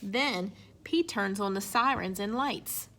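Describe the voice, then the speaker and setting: A woman reading a children's picture book aloud in an expressive way. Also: mid-range voice, slow. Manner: expressive, acts out sound effects and exclamations. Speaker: storybook reader.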